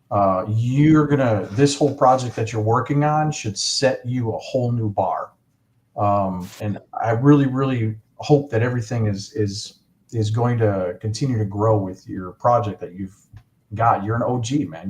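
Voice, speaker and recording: A man's voice: medium pitch, moderate pace, a little mellow. A man hosting a casual livestream, chatting enthusiastically and rambling with lots of fillers. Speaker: livestream host.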